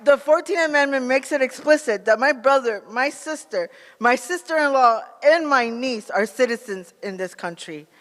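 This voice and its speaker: A man's voice: very high-pitched, fairly bright tone. Earnest American man speaking, long reverb. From United States, born in Peru. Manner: earnest, measured. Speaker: national organizer, advocate. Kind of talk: prepared advocacy speech.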